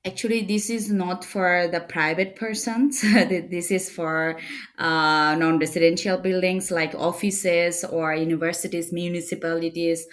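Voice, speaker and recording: A young woman from Bangladesh, a startup co-founder, answering interview questions with many fillers and pauses. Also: on the low side, little pitch movement, a bit bright. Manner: hesitant, many fillers, non-native phrasing.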